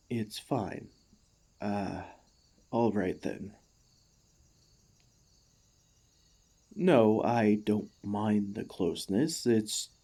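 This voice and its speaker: Male actor as warforged gladiator, construct with a tender heart, unhurried pace, warm dark tone, courtly and earnest, excitable, ASMR roleplay. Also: fairly low pitch, exaggerated voice. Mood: flustered, shy. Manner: earnest, formal, halting speech. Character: emotional, excitable warforged ex-gladiator, gentle and devoted.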